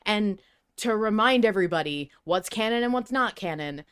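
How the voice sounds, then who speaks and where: A woman's voice: mid-range voice, expressive, normal speed, fairly bright tone, clean tone. A woman co-hosting a podcast, debating casually in an emphatic, sweary way with mock-stern teasing. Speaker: podcast co-host. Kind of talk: casual opinionated debate chat.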